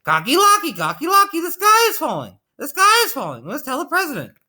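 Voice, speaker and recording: Man putting on a shrill, frantic hen in a panic. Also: huge pitch swings, fairly quick, heavily acted. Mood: frantic alarm. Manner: frantic, yelling repeated cries. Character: panicky dim-witted female chicken raising the alarm. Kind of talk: animated children's storybook read-aloud.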